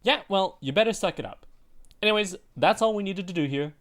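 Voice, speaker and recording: A woman's voice: medium pitch, lively intonation, fairly quick, natural voice. A woman voicing a blunt, irritable, grumpy female pony leader in a parody cartoon. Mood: curt, exasperated.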